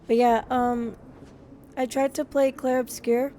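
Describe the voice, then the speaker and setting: A woman streaming live, chatting casually and gushing, her voice a little hoarse, with frequent restarts. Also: sits high, level tone, measured pace, mellow, a little hiss or hum. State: slightly hoarse.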